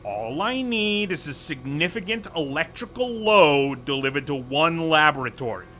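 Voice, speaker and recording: A man's voice: on the high side, big pitch swings, normal speed, bright, forceful tone, faint music behind. A man playing a pompous, exasperated corporate vice president in an audio drama, speaking in a formal, condescending, officious way. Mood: urgent pleading.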